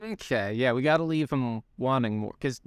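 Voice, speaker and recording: A young man co-hosting a casual podcast, animated and swearing freely, often restarting sentences. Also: mid-range voice, normal speed, clean tone, dry recording. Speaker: podcast co-host. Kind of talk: casual chat.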